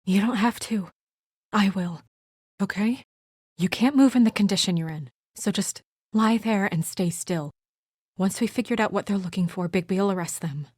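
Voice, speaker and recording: An actress as a serious young woman investigator in a noir fantasy game. She is restrained and businesslike, with a dry edge, in a medium-pitched voice. The recording is close and free of room sound. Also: normal speed, darkish tone, slightly rough, no echo, lightly acted. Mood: earnest, firm. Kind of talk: video game voice acting, naturalistic dramatic dialogue.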